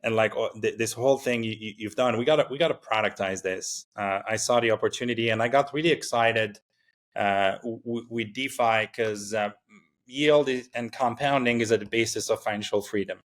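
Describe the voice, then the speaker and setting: A founder from Morocco talking casually in an interview, full of fillers and restarts. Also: male voice, fairly low pitch. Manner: halting, many fillers and restarts. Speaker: startup founder, guest.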